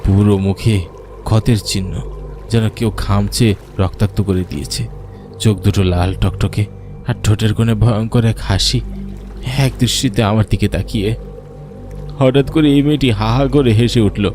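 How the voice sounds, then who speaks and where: Low-voiced man narrating horror. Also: normal speed, long pauses, over music. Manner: dramatic, suspenseful. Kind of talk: scripted first-person horror story narration.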